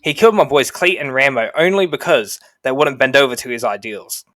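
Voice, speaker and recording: Man acting as game army sergeant, bright cutting voice, aggressive, foul-mouthed, domineering, close dry recording. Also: medium pitch, animated, brisk, no echo, lightly acted. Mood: brash, grim. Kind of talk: scripted game cutscene dialogue.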